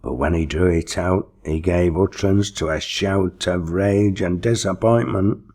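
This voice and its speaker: Male audiobook reader, low-pitched, raspy, deliberate, theatrical storytelling. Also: steady tone, darkish tone. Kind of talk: expressive scripted storytelling narration.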